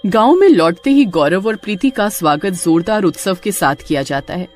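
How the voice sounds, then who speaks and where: A woman's voice: lowish voice, lively intonation, normal speed, a little mellow, faint music behind. A woman reading a scripted story as narrator, in a dramatic storytelling manner.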